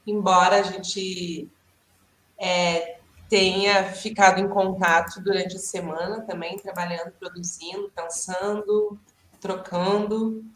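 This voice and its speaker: A Brazilian woman hosting an online workshop on a video call, chatty and friendly, often pausing with fillers. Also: on the low side, little pitch movement, a bit bright, slight background noise. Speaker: workshop host and artist. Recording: echoey room.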